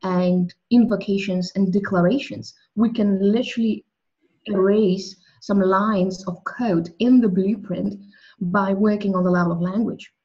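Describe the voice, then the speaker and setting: A woman's voice: medium pitch, steady tone, a little slow, a little mellow, muffled recording. Woman musing on soul. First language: Russian. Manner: thoughtful, restarts often, speaks of soul and language. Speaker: guest. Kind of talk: spiritual interview conversation.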